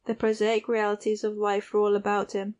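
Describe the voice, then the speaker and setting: A woman's voice: medium pitch, monotone, brisk, darkish tone. A woman reading literary fiction aloud as a scripted audiobook narrator.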